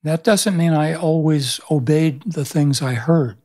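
A man's voice: on the high side, a little slow, dark tone. An older man in his seventies, a pastor and author, telling stories thoughtfully in an interview, often restarting his sentences. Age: 70s. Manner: thoughtful storytelling with frequent restarts. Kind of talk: reflective interview conversation. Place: small room, indoors. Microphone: studio microphone on boom arm.

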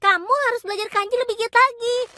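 A woman's voice: extremely high pitch, steady tone, fast talker, heavy background noise. A woman voicing a small female cartoon robot cat, a helpful and sensible little sister explaining things. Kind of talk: animated cartoon dub acting. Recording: music behind the voice.

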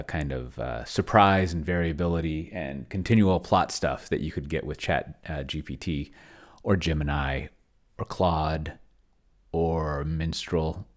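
A man in his forties talking casually on a livestream in a halting, digressive way, close to a desk microphone. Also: deep voice. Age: 40s. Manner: halting, digressive, self-interrupting. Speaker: streamer. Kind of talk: casual rambling livestream talk. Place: small home office with bookshelves. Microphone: large desk microphone up close.

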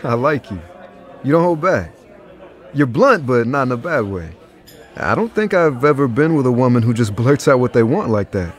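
An actor playing an easygoing, flirtatious scientist in an audio drama, teasing and earnest. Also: male voice, medium pitch, very animated, moderate pace, natural voice. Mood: warmly flirty. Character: easygoing, flirtatious male scientist dating a woman.

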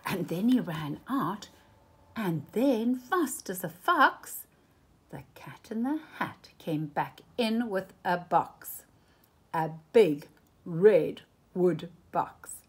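Slow, animated woman reading a storybook. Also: on the low side, slightly rough. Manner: dramatic pauses and emphasis. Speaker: storybook reader. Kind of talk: expressive children's read-aloud storytelling.

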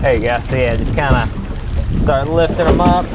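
A man at a mid pitch walks a guest through reeling in a fish on an open boat. He talks loosely, full of "kinda" and "um". Wind and boat noise stay clearly audible beneath him. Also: moderate pace. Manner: laid-back, many "kinda" and "um" fillers. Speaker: fishing charter captain. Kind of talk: casual instruction on a boat. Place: open fishing boat at sea, outdoors.

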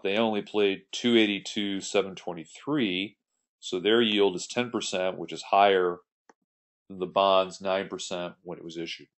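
A man giving an explanatory tutorial as an accounting instructor, working through an example step by step in a conversational way. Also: fairly low pitch. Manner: step-by-step, conversational, poses questions then answers them. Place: voice-over on a screen recording.

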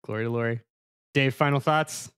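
A man's voice: mid-range voice, moderate pace, a bit bright, clear, resonant tone. A man hosting a podcast discussion in a mock-serious, conversational way. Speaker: podcast host.

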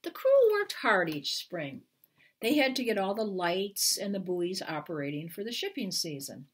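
A woman in her sixties or older with a husky voice reads a children's book aloud in a deliberate, expressive way, as an author at storytime. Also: medium pitch. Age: 60s or older. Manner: deliberate, expressive reading with brief explanatory asides. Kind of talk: storytime read-aloud to camera. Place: small living room at home, indoors.

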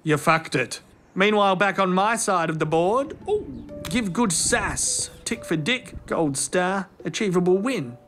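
A man's voice: high voice, measured pace, soft background music. A man voicing a crude cheeky robot sidekick. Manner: crude, teasing, deadpan. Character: cheeky sarcastic male floating robot sidekick. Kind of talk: comedy web series acting.

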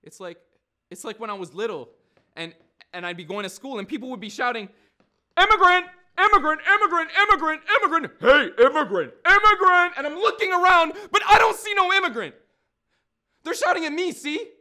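A man's voice: sits high, a little fast, bright, forceful tone. An actor in his twenties playing a streetwise, brash, wounded young man in a theatrical monologue, with slangy, insistent, repetitive delivery. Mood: furious. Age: 20s. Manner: slangy, swearing, insistent, with repeated phrases.

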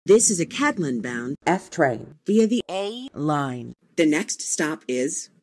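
Lady doing subway announcements, slow n formal, lowish voice, pitch moves around a lot. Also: sing-song. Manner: measured, formal, segmented delivery. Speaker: train announcer. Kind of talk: scripted transit announcement, spliced clips.

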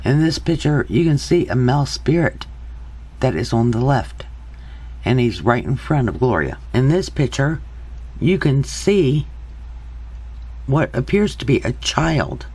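A man with a husky, slightly breathy voice talks through one photo after another. He speaks slowly and hesitantly, stopping and restarting often as he points out what he sees. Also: mid-range voice, darkish tone. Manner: slow, halting, hesitant with many pauses. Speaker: paranormal investigator. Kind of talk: narrating over still photos.

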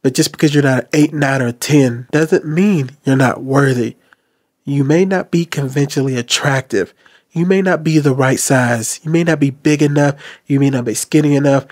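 A man's voice: medium pitch, normal speed, darkish tone, no room sound, clean studio sound. A man in his thirties hosting a reflective commentary, talking earnestly to the camera from a small room. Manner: earnest, advice-giving. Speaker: host. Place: small decorated room indoors. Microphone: desk mic in front.

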